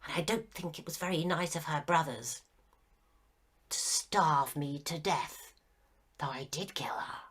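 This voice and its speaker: A woman's voice: sits low, unhurried, pauses often, a bit bright, breathy edge, exaggerated voice. A woman reading a story aloud, voicing a petulant, lonely old male ghost who turns meek and weary.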